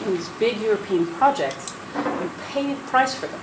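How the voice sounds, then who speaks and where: A woman's voice: mid-range voice, expressive, moderate pace. A Dutch woman with an American accent, speaking earnestly and persuasively as a panelist at a roundtable. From Netherlands, raised in the US. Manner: earnest, persuasive, many restarts. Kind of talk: roundtable speech. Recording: echoey room with noise.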